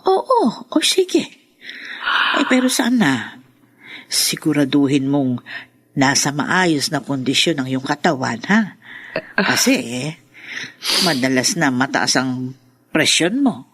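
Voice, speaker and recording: Lively, fussing Filipino woman friend. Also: very deep voice, slow, pauses often, husky, no room sound, exaggerated voice. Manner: expressive, concerned, exclaiming. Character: caring, fussy older female best friend. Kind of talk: Filipino radio drama acting.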